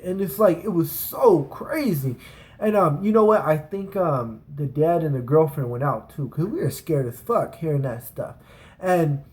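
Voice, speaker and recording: Man, fairly high-pitched voice, casual storytelling vlogger, rambling, slangy, profane, emphatic. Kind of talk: casual, rambling personal anecdote.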